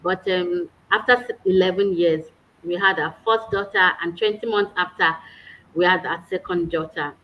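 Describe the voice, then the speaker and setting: A woman's voice: lowish voice, steady tone, moderate pace, highs cut off. A woman, a guest earnestly telling her personal story in a casual chat. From Nigeria. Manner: earnest, warm storytelling with small laughs.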